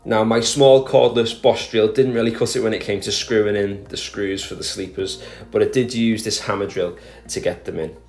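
A man with a low voice talks methodically through a do-it-yourself project in a recorded voice-over. Faint music plays quietly underneath him. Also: fairly even intonation, normal speed. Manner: plain, methodical explaining with small restarts. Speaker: garden DIY vlogger. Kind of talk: voice-over narration of a DIY project.

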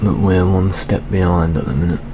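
A young British man playing a weary, jaded older police detective in a soft-spoken ASMR roleplay, muttering with gloomy gallows humour. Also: low-pitched, fairly even intonation, brisk, background noise, natural voice. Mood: jaded, resigned. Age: young adult.

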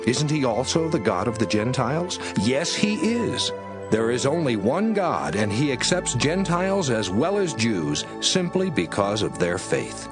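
A man reading scripture as a scripted audiobook, with an emphatic, measured and rhetorical delivery. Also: medium pitch, big pitch swings. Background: music under the voice.

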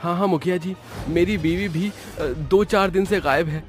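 Guy doing a worried villager, whiny complaints, pitched up, cartoon story. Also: moderate pace, soft background music, lightly acted. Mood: anxious. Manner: anxious, complaining. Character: worried male villager pleading for help.

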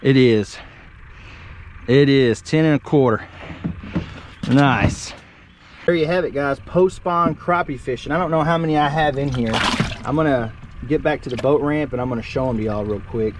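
This is a man, filming himself on a small boat outdoors. He chats casually to his viewers and gets loud and excited when a fish strikes. The camera sits on the boat, so there is faint outdoor noise behind him. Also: medium pitch, moderate pace, long pauses. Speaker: fishing vlogger. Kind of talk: casual fishing vlog talk.

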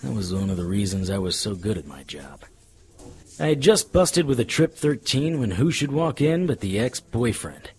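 An actor playing a sardonic, tough-talking private detective in a noir radio drama. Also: male voice, on the low side, very animated, normal speed, darkish tone, breathy edge, full character voice. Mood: wry, world-weary. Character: hard-boiled male private detective, sardonic and threatening.

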